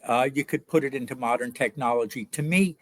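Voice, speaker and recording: An older man from New York, an author answering interview questions in a reflective, hesitant way with many pauses and fillers. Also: mid-range voice, no room sound.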